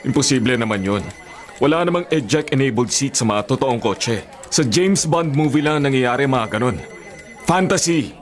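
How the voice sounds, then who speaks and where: An actor with a Filipino accent playing a skeptical, authoritative racing team chief in a radio drama. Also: male voice, medium pitch, expressive, moderate pace, lightly acted. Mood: dismissive. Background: music.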